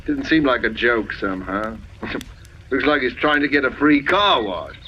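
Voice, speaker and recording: A man's voice: mid-range voice, lively intonation, a little slow, pushed and bright. A man playing a chatty, gossipy countryman in an old radio drama, telling things in rambling colloquial talk. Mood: gossipy, puzzled. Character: chatty, folksy countryman witness, amused and gossipy. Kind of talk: 1940s radio drama acting. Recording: dull, slightly noisy old radio recording.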